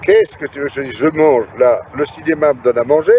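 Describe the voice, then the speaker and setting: A man's voice: fairly high pitch, quick pace, darkish tone, slight background noise. A man speaking bluntly and boastfully in an archive interview clip, outdoors.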